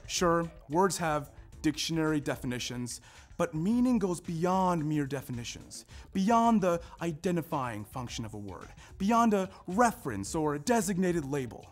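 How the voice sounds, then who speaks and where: A man performing a scripted narration in a readers theater style, with emphatic, deliberate phrasing. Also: a little high, very animated, faint music behind. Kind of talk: scripted narration, staged recitation.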